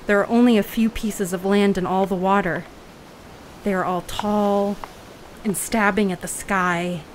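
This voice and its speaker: A woman's voice: lowish voice, a little hiss or hum, lightly acted, low-quality recording. A woman in an audio play playing a guarded, intense raft dweller of an ocean world, speaking in plain, vivid phrases. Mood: grave, storytelling.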